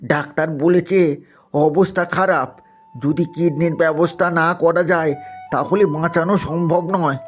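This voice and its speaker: Cartoon landlord's servant, played by a man: dutiful, anxious, deferential, slightly high male voice. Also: fairly even intonation, unhurried, soft background music, lightly acted. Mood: worried. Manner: deferential, urgent. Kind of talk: cartoon story voice acting.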